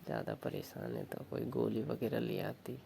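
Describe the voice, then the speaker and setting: A man playing a village lover coaxing his sister-in-law on the phone, with a Rajasthani accent and a slow, suggestive way of talking. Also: on the low side, huge pitch swings, gravelly, mellow, a little hiss or hum, exaggerated voice. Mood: earnest, suggestive. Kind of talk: staged phone call, casual flirtatious chat.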